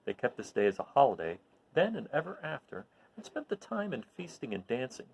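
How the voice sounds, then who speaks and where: A man reads a storybook aloud to his listeners. His delivery is animated and very varied in pitch, and his voice has a creaky, gravelly edge. He tells the tale expressively, as if to children. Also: fairly quick, poor audio. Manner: animated, recapping with questions to listeners. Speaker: storyteller reading aloud to an audience.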